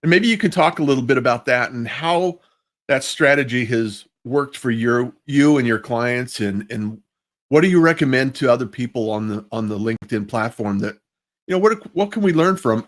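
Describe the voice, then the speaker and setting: A man's voice: medium pitch, lively intonation, moderate pace. A man hosting a casual, chatty live interview online, talking in a rambling, appreciative way. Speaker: host of a livestream interview show. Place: at home, live online video call. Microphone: small personal microphone.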